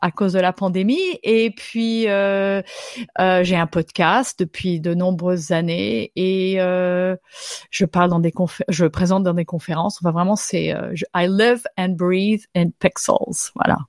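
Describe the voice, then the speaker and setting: A woman's voice: on the low side, unhurried, dry recording, clean studio sound. French woman photographer in casual chat. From France. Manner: lively, hesitant restarts, laughs easily. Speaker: guest photographer. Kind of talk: casual interview conversation.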